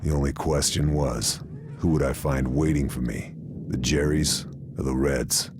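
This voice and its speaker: Casting: hardened American OSS sniper narrator, war game. Extremely low voice, laconic and world-weary, over noisy ambience. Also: male voice, fairly even intonation, measured pace. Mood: terse, resolute. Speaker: narrator and protagonist.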